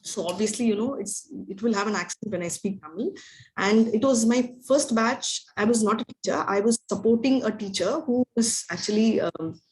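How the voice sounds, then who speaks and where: A woman's voice: mid-range voice, normal speed, a little mellow, poor audio. Chatty woman teacher. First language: Telugu. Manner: chatty, rambling, often asks "right?". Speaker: spiritual teacher. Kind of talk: casual talk to an online class.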